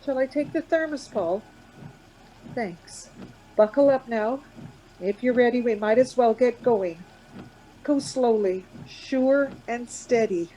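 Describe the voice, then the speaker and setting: Anxious woman traveller, high mellow voice, urgent and coaxing, radio drama, noisy vehicle ambience. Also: slow, pauses often. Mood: shaky, coaxing. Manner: urgent, pleading, reassuring. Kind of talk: radio play acting. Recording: background noise with vehicle rumble.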